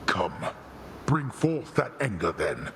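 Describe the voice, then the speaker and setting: An actor playing a stern, imperious god of the dead in a video game, speaking with scornful, deliberate command. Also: male voice, lowish voice, big pitch swings, gravelly, noisy background, full character voice. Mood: angry, taunting. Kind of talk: dramatic video game acting.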